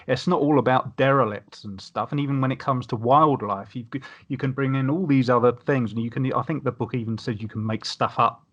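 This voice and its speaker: A man's voice: mid-range voice, a little fast, few pauses, a bit bright, lo-fi sound. Man rambling keenly on a podcast. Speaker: podcast panelist. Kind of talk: casual podcast discussion.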